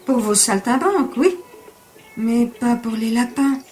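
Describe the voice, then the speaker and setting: A woman's voice: fairly high pitch, animated, a little slow, darkish tone, soft background music. An actress playing a tender-hearted, indignant young lady in an audio drama. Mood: shocked, pitying.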